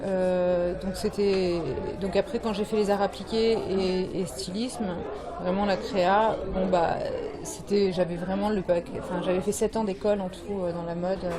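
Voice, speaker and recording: A woman in her thirties from Brittany, a fashion designer guest, talking casually and haltingly with many fillers and restarts. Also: medium pitch, steady tone. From France, Brittany. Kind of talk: casual interview talk. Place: bar evening event with crowd. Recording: crowd chatter behind.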